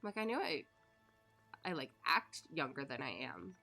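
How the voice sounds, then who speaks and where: A woman's voice: low voice, animated, unhurried, vocal fry, sharp tone, faint music behind. A woman in her thirties, a streamer chatting casually while she plays. She tells stories in a loose, rambling way, laughs often and swears offhandedly. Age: 30s. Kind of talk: casual chat while gaming.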